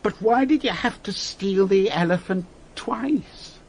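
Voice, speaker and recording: High, husky, stern ship's captain. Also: male voice, a little slow, full character voice. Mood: indignant, accusing. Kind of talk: radio drama acting.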